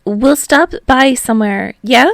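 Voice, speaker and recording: A woman's voice: fairly high pitch, measured pace, no echo, clean studio sound, pitched above the performer's own voice. A woman voicing a bubbly, eager young man, chatty and a little sheepish, as an audiobook character. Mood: friendly. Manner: energetic, hopeful, gushing. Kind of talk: fan fiction audiobook character voice.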